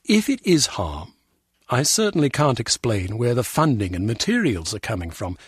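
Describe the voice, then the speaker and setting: A man's voice: medium pitch, sing-song, moderate pace, breathy edge, no echo. An actor playing a sardonic, sceptical male intelligence official with a pompous manner in a video game. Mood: businesslike. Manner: pompous, dry, curt.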